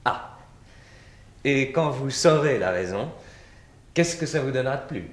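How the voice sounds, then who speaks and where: An actor playing a touchy, self-satisfied painter in a 1960s French radio drama, guarded and a little haughty. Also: male voice, medium pitch, animated, measured pace. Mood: guarded, ironic.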